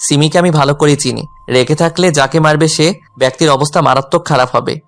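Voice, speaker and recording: An actor plays a lovelorn young man telling his own story in a romantic audio drama. His voice sits a little high for a man, with a melancholy, self-effacing manner. Soft music plays behind him. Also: a little fast, lightly acted. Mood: brooding. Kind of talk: scripted first-person romantic story narration with dialogue.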